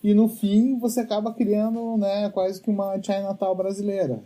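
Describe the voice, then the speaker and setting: A woman's voice: mid-range voice, little pitch movement, normal speed, mellow, rough recording. A woman chatting casually on a podcast in a reflective, thoughtful way. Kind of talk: casual chat.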